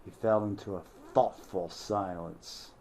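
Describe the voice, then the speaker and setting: Slow, measured male narrator. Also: sits low, steady tone, warm tone, a little hiss or hum. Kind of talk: scripted narration of a novel.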